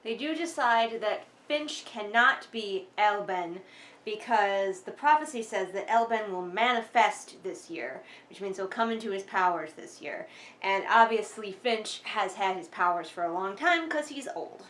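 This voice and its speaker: A woman's voice: mid-range voice, expressive, measured pace, fairly bright tone. A woman giving casual, sarcastic book commentary in an emphatic, exasperated manner, sometimes reading passages aloud. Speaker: vlogger doing a critical book read-along.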